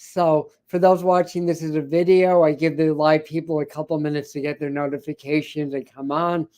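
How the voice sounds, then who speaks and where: A man with a scratchy, hoarse voice hosting a spiritual livestream, chatting casually with many fillers and restarts. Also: fairly high pitch, little pitch movement, moderate pace, warm tone. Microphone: ring light setup, speaking to camera.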